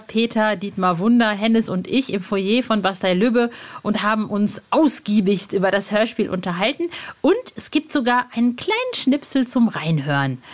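A woman presenting a podcast in a chatty, excited, informal way, sprinkling in slang and English phrases. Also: medium pitch. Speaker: podcast host.

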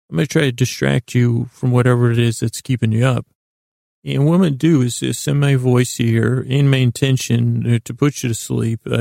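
A man with a soft-edged, relaxed voice full of creak delivers a rambling bedtime monologue. He sounds lulling and friendly, mumbling, smushing words together and circling back on himself. The recording is close and very dry, with no room sound. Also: medium pitch, no room sound. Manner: meandering, mumbly, smushes and stumbles over words. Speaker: host of a sleep podcast. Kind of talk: rambling bedtime monologue to help listeners fall asleep.

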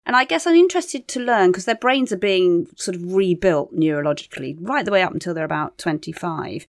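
Low-voiced woman, dry studio sound. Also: expressive, fairly quick, dry recording. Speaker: podcast co-host, parent of teenagers. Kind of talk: conversational interviewing.

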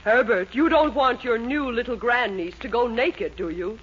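An actress playing a bossy, excitable middle-aged wife in a theatrical 1940s radio comedy style. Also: female voice, fairly high pitch, moderate pace, natural voice. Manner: theatrical, imperious. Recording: dull old radio recording, faint noise.

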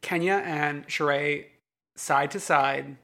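A man co-hosting a casual reality TV recap podcast, making wry jokes and agreeing with his co-host through quick "yeah"s. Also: fairly high pitch, vocal fry, bright, forceful tone.

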